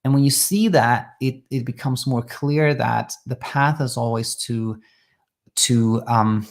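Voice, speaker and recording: Man from Sweden, sleep coach, gravelly, mid pitch, calm reassuring advice, live Q&A. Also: takes it slowly. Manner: calm, reassuring, thinks aloud with restarts.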